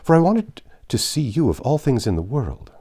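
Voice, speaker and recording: A man voicing a gossipy, excitable, vulgar young Regency woman in a storybook reading, chattering on in run-on sentences. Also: mid-range voice, moderate pace, pitched above the performer's own voice. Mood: eager prying.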